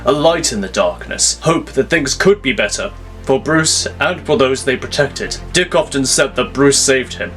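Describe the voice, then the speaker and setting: A man's voice: medium pitch, animated, moderate pace, fairly bright tone, soft background music. A man giving dramatic scripted narration for an audio story.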